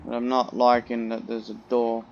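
A man doing let's play commentary in a put-on British accent, jokey, profane and loud. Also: mid-range voice, monotone, moderate pace, gravelly, highs cut off, a little hiss or hum. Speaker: gamer.

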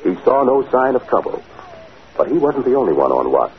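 A man's voice: medium pitch, very animated, fairly quick, natural voice. A man delivering scripted narration for a 1940s radio drama. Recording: tinny 1940s radio recording.

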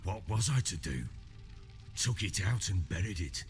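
An actor playing an older, burly drunken baron in a video game, anguished and halting, with coarse archaic speech, racked by guilt. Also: male voice, low-pitched, animated, faint music behind. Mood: grief-stricken. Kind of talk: dramatic video game acting.

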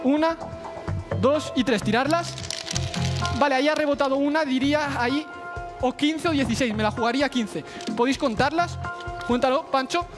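A young man in his twenties with a Valencian Spanish accent, speaking in a very high voice as he walks judges through his act. His patter is confident and smooth, and clear music plays behind him on a broadcast stage recording. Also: normal speed, pauses often. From Spain. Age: 20s. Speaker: talent show contestant. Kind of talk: stage patter while performing mentalism. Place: large television studio stage. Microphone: headset mic.

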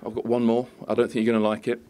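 A man's voice: mid-range voice, completely flat delivery, racing, mellow. A man working as a sports reporter, putting persistent questions in a post-match interview.

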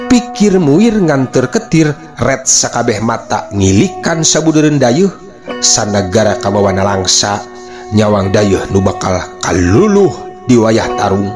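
A man narrating a folk tale in a theatrical, declamatory recitation. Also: on the low side. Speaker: storyteller. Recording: loud music bed under the voice. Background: music.

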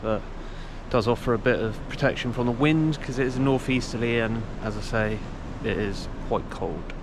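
A man, chatting casually to camera in a low-key, halting way as an outdoor vlogger. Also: background noise. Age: 30s. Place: outdoors on a rocky sea ledge. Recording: loud surf and outdoor ambience. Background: waves and surf.

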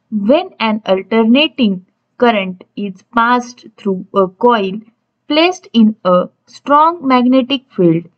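Slow-paced Indian woman teacher, muffled recording. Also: fairly high pitch. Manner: explanatory, instructional. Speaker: electronics teacher. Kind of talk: scripted lesson, reading out and explaining step by step. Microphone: voice-over on slides.

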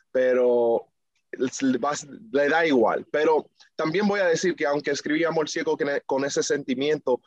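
Young man, relaxed and muffled video call. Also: on the high side, no echo. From United States, of Dominican family. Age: young adult. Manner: relaxed, laughs easily, many fillers and restarts. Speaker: guest musician. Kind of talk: casual interview answers. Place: small indoor room, video call.

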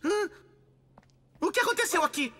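A woman's voice: very high-pitched, expressive, moderate pace, loud music behind the voice. A Brazilian woman voicing a cheeky, outspoken eleven-year-old cartoon boy who likes to argue. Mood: exasperated. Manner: argumentative, outspoken. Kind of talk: animated cartoon dub.

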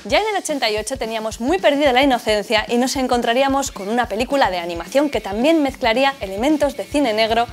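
A woman in her forties with a Castilian Spanish accent, presenting a scripted film video in a chatty, expressive way. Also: on the high side, fast talker, hardly any pauses. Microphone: lapel mic. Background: music.

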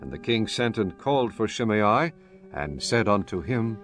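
A man with a fairly low voice narrates scripture as a solemn, formal story. It is a scripted dramatized reading with soft music underneath. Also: animated, normal speed. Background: soft background music.

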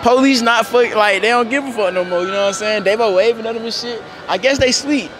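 A man's voice: very high-pitched, fast, over music, a crowd in the background. A young man hosting casual street interviews, talking in playful slang with plenty of swearing. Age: young adult. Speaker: host of public interview vlog. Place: large indoor shopping mall. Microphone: wireless mic on camera shoot.

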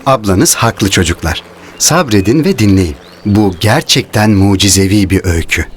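An actor playing a kindly, encouraging father in a children's cartoon, reassuring and animated. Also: on the low side, moderate pace, a little hiss or hum, lightly acted. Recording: faint music behind the voice.

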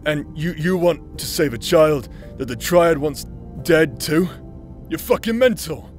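A fairly high-voiced drunken villain played by a man, protective and self-loathing. He stammers and swears through emotional audio drama lines, with faint music behind him. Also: animated, unhurried. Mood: agitated, pleading. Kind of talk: emotional audio roleplay acting.

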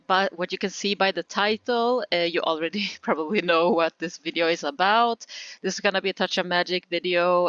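A woman with a Swedish accent talks through a game tutorial in a chatty, giggly way. Her voice is bright and smooth. The recording is dry and a little muffled. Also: fairly low pitch, no echo. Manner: chatty and giggly, with frequent fillers. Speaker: gaming tutorial creator. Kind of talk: casual game tutorial voice-over.

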